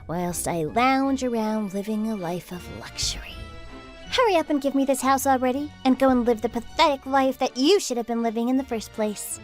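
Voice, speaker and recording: Woman acting a spoiled, whiny sister-in-law, high-pitched. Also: close to an everyday voice. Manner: haughty, demanding, whiny. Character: spoiled, entitled, scornful young sister-in-law, shrieking and whining. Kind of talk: dramatized story narration acting.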